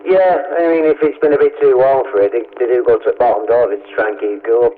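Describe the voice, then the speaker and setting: A man's voice: medium pitch, quick pace, narrow, tinny audio. A man with a Northern English accent chatting casually over CB radio, agreeable and inclined to explain things. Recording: noisy, band-limited CB radio transmission.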